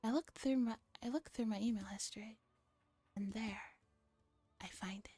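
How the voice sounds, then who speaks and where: A woman streamer reading a visual novel aloud in a hushed, dramatic ASMR style, often slipping into a whisper. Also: a little high, moderate pace, breathy edge, no echo, faint music behind.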